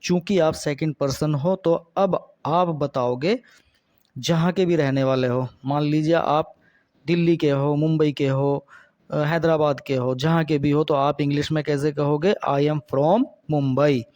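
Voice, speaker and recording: Slow-speaking man teaching spoken English. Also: a little high, fairly even intonation, a little mellow, dry recording, studio quality. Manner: slow, deliberate, repeats each English sentence with a Hindi translation. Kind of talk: spoken English lesson, explaining in Hindi.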